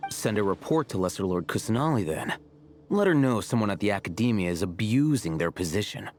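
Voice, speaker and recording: A man voicing a smug, unflappable young scribe in a video game, deadpan and sardonic. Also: fairly low pitch, a little fast, soft background music, lightly acted. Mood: dry, mocking. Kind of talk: video game character dialogue.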